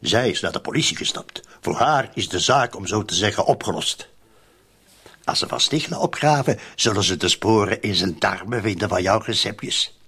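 An actor with a Flemish accent playing a cynical, streetwise murder accomplice in a radio drama, insistently spelling things out in colloquial speech. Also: male voice, lowish voice, moderate pace, pushed and bright, husky, exaggerated voice. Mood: ominous.

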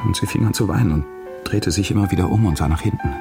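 A man's voice: sits low, fast, creaky, over music. A man acting a troubled, guilt-ridden young man in a radio crime drama, hesitant and repeating himself. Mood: troubled, confessing.

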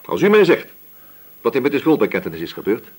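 An actor playing a stern, relentless, sardonic police inspector who questions insistently in a radio play. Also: male voice, medium pitch, huge pitch swings, fast talker, darkish tone, husky. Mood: threatening. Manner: probing, insistent, threatening. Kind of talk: Dutch radio play dialogue.

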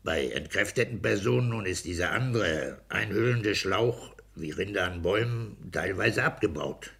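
Self-satisfied petty official, gravelly, forceful, emphatic, pedantic, vintage radio play. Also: male voice, sits low, lively intonation, normal speed, heavily acted. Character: smug, blunt petty-bourgeois civil servant, self-satisfied husband. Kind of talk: 1960s German radio play acting.

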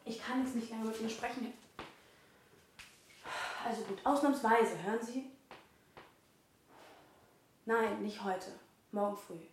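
A guarded young woman in a radio drama, her voice on the high side. She is curt, evasive and firm. The room rings strongly around her, with a faint hiss. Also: fairly even intonation, measured pace, pauses often. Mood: firm, controlled. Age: 20s. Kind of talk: naturalistic radio drama acting. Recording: echoey room, faint noise.

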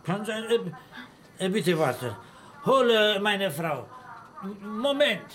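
An actor in a radio drama playing a halting foreign kiosk keeper who speaks in broken sentences. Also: male voice, high voice, sing-song, fairly bright tone, heavily acted. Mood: hesitant, mumbling.

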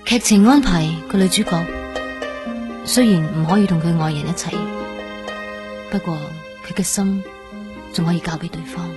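Woman acting sad lovelorn hong kong girl, lowish soft voice, radio drama monologue with music. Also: natural voice. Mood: sad, wistful. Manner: soft, wistful, measured. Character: melancholy, lovelorn young woman confiding inner thoughts.

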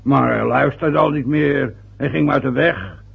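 A man's voice: mid-range voice, moderate pace, gravelly, breathy edge, heavily acted. An older man playing a stubborn, sarcastic father in a radio drama. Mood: defensive. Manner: grumbling, sarcastic. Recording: dull lo-fi old radio recording.

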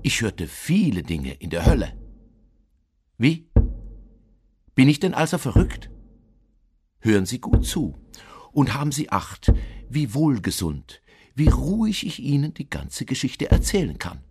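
Obsessive madman, husky, widely swinging pitch, nervous, emphatic, dramatic literary monologue. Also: wildly swooping pitch, darkish tone, exaggerated voice. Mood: feverish, defiant. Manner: intense, deliberate, with sudden emphatic swells.

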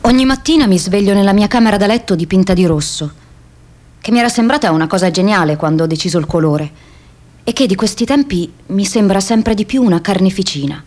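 A woman's voice: medium pitch, lively intonation, brisk, a little mellow, natural voice. An actress playing a restless, imaginative young American woman in an introspective, vivid radio theatre monologue. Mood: reflective. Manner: introspective, vivid first-person storytelling. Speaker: stage actress.

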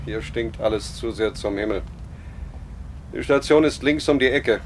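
An actor playing a bitter, theatrical beggar in a radio play, speaking in a declamatory, solemn and sardonic way. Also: male voice, medium pitch, normal speed, a little hiss or hum, natural voice.

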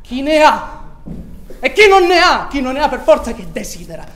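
A woman's voice: high voice, big pitch swings, normal speed. An actress playing a bitter, ironic woman delivering a theatrical monologue full of rhetorical questions. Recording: roomy echo.

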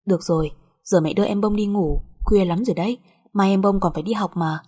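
A woman's voice: mid-range voice, quick pace, warm tone, husky, natural voice. A woman voicing a timid young wife and mother, deferential and emotional, in an audio drama. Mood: soothing.

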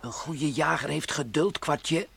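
An actor playing a bossy, admonishing space adventurer who lectures and grumbles in a children's radio play. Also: male voice, a little high, unhurried, vocal fry, fairly bright tone, breathy edge, exaggerated voice. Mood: lecturing. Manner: didactic, admonishing.

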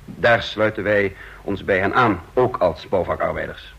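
Hese, gebiedende operatieleider. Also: male voice, low-pitched, measured pace, gravelly, fairly bright tone, husky, heavily acted. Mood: brisk commanding. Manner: clipped, authoritative, matter-of-fact. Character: commanding, shrewd male operation leader, brisk and self-assured. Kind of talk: Dutch radio drama dialogue. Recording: dull old radio recording.

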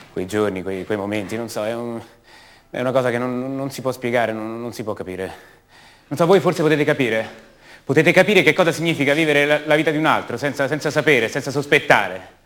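A man acting a bewildered young man shaken about who he is, confiding haltingly and repeating words in a radio theatre monologue. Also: medium pitch, a bit bright, natural voice. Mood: bewildered, agitated.

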